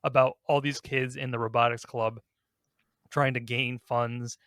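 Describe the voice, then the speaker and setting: A man hosts a casual podcast discussion in a mid-pitched voice. The sound is dry and close, with very little room to it. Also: little pitch movement, unhurried, a bit bright, no echo. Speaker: podcast host.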